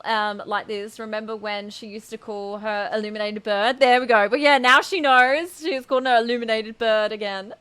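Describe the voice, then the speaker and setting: A woman's voice: a little high, steady tone, moderate pace, bright, forceful tone, clean tone, dry recording. A woman chatting casually as she hosts a game stream, greeting viewers affectionately.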